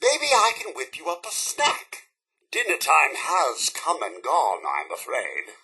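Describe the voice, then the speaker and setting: A man's voice: a little high, wildly swooping pitch, takes it slowly, pushed and bright, breathy edge, full character voice. A man voicing a fussy, devoted robot butler in a video game, with a British accent and a formal, theatrical, effusively polite delivery. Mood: cheerful, fussy. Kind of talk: video game character voice acting.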